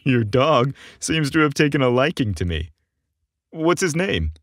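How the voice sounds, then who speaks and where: A friendly, slightly hesitant young man performed by a male audiobook narrator speaks with casual wryness. His intonation moves a lot at an easy, slow pace. The recording is dry. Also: mid-range voice, very animated, no room sound, voice pushed up. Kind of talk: audiobook character dialogue.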